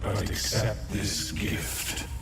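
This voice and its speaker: A man's voice: lowish voice, little pitch movement. A man voicing a solemn, grieving fungal sovereign in a fantasy video game, droning and ceremonial, with a heavy echo effect. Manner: slow, droning, ceremonial, terse. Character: solemn, grieving mushroom sovereign, ancient creature leader. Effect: heavy echoing reverb on the creature voice. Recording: heavily echoing, distant processed game voice.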